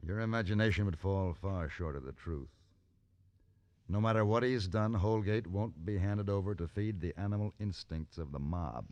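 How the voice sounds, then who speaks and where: Radio western lead: male actor as hired gun, low register, unhurried, principled, dry menace under a calm surface. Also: dull audio, close to an everyday voice. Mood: calm, firm. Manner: measured, dry, quietly menacing. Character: cool, steely gunfighter for hire, principled and calm. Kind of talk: old time radio western acting.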